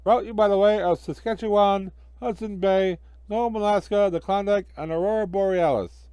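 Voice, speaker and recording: A man's voice: high-pitched, fairly even intonation, moderate pace, warm tone, clear, resonant tone, dry recording, pitched above the performer's own voice, natural voice. A man voicing a fussy, officious railway ticket clerk who rattles off lists in a children's story. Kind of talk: amateur children's storybook reading.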